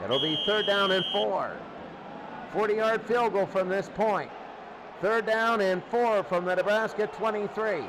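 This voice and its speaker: High-pitched male football announcer over loud noise. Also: unhurried. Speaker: play-by-play sports announcer. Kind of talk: American football radio commentary. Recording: loud steady noise behind the voice.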